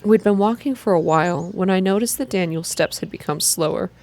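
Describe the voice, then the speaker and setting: A woman reading a story aloud in a soft-spoken, measured, atmospheric ASMR style. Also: on the low side. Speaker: reader of a video game story.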